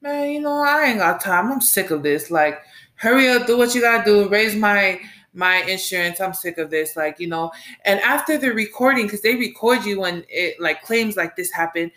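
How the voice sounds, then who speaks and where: An American woman in her late twenties, a mother and podcast host, talking through her week in a rambling, animated solo chat and laughing often. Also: medium pitch, moderate pace. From United States. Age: late 20s. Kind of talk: casual solo monologue.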